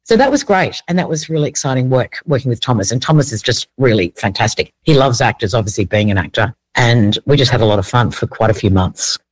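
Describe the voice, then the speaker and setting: A woman's voice: rumbling low, expressive, quick pace, hardly any pauses, husky, no echo. A woman with an Australian accent, talking conversationally as a casting director being interviewed.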